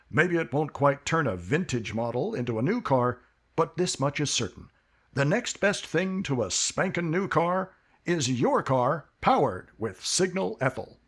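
A man reads a 1950s-style radio commercial like a folksy salesman. His husky voice climbs and dips with lively energy. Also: on the high side. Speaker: announcer.

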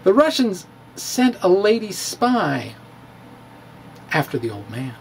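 Wry man with lively pitch. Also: slow pace, gravelly, a little hiss or hum. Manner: wry, playful, laughs at own jokes. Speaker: science blogger. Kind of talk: comic dramatic reading. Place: small room with bookshelves, indoors. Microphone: handheld mic on stand, close.

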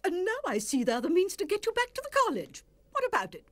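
A woman's voice: very high voice, huge pitch swings, fast, a bit bright, heavily acted. An actress playing a shrewd, fussy older lady guide in a cartoon, haughty, wheedling and formal. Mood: sly, bargaining. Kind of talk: cartoon acting.

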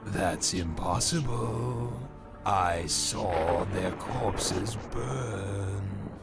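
A man playing a cold, imperious sorcerer lord in a video game, measured, menacing and commanding. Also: on the low side, creaky, loud music behind the voice, exaggerated voice. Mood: cold menace. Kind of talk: video game cutscene acting.

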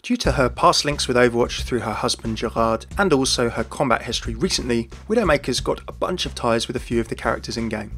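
A man's voice: medium pitch, animated, fast, hardly any pauses, a bit bright. A man hosting a scripted explainer video on video game lore. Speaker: video host, gaming lore narrator. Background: background music.

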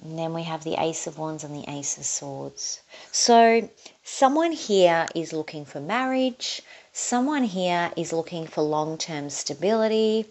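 A woman's voice: deep voice, lively intonation, a little slow, darkish tone. A woman with an Australian accent giving a casual tarot reading, thinking aloud as she draws cards. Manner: chatty, thinking aloud while drawing cards. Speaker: tarot reader. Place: indoors at a table, overhead camera on her hands.

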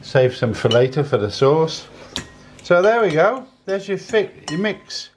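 A man in his sixties giving a casual, unhurried cooking demonstration in a home kitchen, often repeating his phrases as he goes. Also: fairly high pitch, sing-song. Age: 60s. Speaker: home cook, presenter. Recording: clattering dishes, clicks, kitchen noise.

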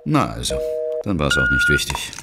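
An actor playing a laid-back, wry off-duty detective in an audio drama, talking casually. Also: male voice, a bass voice, wildly swooping pitch, moderate pace, vocal fry, loud music behind the voice, heavily acted. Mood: relaxed, wry.

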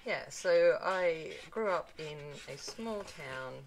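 A woman's voice: fairly low pitch, expressive, slow, slight background noise, rough recording. A middle-aged woman from North Queensland with a broad Australian accent, chatting casually and self-deprecatingly while crafting at her desk. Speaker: papercraft channel host. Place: home craft desk, indoors. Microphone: tabletop mic, overhead camera on hands.

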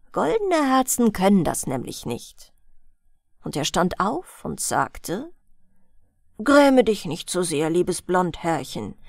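A woman reading a fairy tale aloud as a bedtime audiobook, in a gentle storytelling manner. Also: fairly high pitch, no echo, clean studio sound. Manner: slow, gentle storytelling with lively, sing-song melody. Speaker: audiobook reader.

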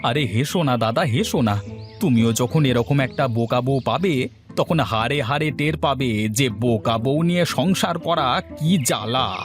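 A man's voice: mid-range voice, a little slow, faint music behind, natural voice. A man playing an exasperated, hungry village farmer husband who complains dramatically in a comedy cartoon. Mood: aggrieved. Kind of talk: Bengali comedy cartoon dialogue.

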